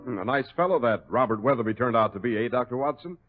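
A man's voice: medium pitch, animated, quick pace. A man working as a radio announcer in the polished style of 1940s broadcasts. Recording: thin, phone-like old radio recording with loud music.

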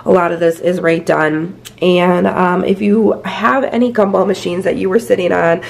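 A woman's voice: on the low side, steady tone, normal speed, creaky. A woman in her thirties with a scratchy voice, chatting casually through a home decor tour indoors with a handheld phone, full of fillers. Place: inside a house. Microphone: handheld phone camera.

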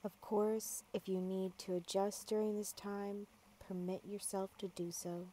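A woman guiding a yoga nidra meditation, giving calm, measured, gentle instructions with long pauses. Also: medium pitch. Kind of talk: guided meditation, slow soothing instruction.

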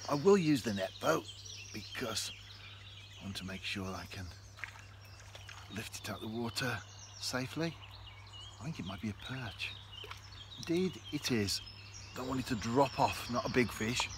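A man, talking to camera in a relaxed, reflective way while fishing on a canal bank. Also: mid-range voice, moderate pace, long pauses. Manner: chatty, reflective, speaks straight to camera. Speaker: fishing vlogger. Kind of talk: relaxed outdoor vlog narration. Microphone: camera close in front. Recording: faint outdoor noise. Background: birds and countryside ambience.

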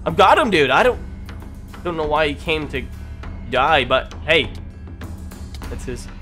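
A young man doing excited, improvised roleplay commentary over a video game, urgently shouting commands to teammates. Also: on the high side, measured pace. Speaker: gaming video host playing a roleplay game. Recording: loud music behind the voice. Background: loud music.

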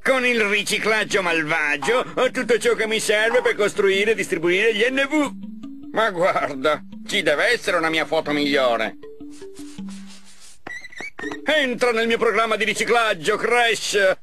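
A man voicing a vain mad-scientist villain in an animated game, theatrical, smug and mocking. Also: high-pitched, a little slow, over music. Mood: gloating. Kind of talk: animated game cutscene dub.

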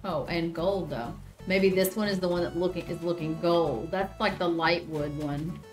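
A woman in her thirties with a Southern US accent, chatting casually on a gaming stream, reading chat aloud and often pausing with ums. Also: on the low side, faint music behind. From Southern United States. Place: small room indoors. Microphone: headset.

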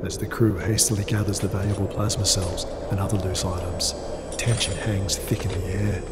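Low, even-toned male story narrator. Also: normal speed, echoey room, long reverb tail, over loud music. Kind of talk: scripted cinematic sci-fi narration.

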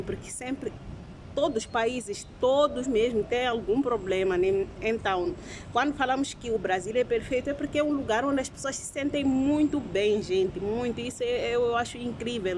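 A woman's voice: fairly high pitch, background noise. A young woman from Mozambique reacting with effusive, exclamatory commentary. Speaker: channel host reacting.

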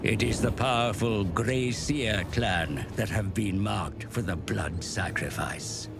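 An actor playing a grave, ominous narrator in a dark fantasy game cutscene, solemn and portentous. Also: male voice, on the low side, slow pace, creaky. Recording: loud music behind the voice.

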